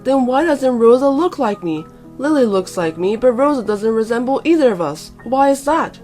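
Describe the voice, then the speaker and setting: A woman voicing an angry drunken husband in a dramatised story, yelling and accusing. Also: medium pitch, expressive, normal speed, soft background music, lightly acted.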